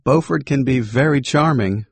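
A man voicing a restless young gentleman, ironic and earnest, in a husky audiobook voice. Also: mid-range voice, normal speed, no room sound. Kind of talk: audiobook character voice.

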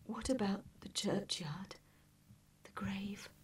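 A woman voicing a weary, gentle, grieving poor mother in a storybook, with a hushed, whispery voice. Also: lowish voice, unhurried, a little hiss or hum. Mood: hushed sorrow. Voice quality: breathy, whispery. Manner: quiet, hushed.

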